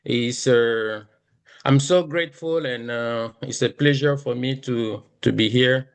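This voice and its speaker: Male guest accountant, voice with vocal fry, informally thanking a group. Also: medium pitch, measured pace. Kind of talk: casual thanks on a group call.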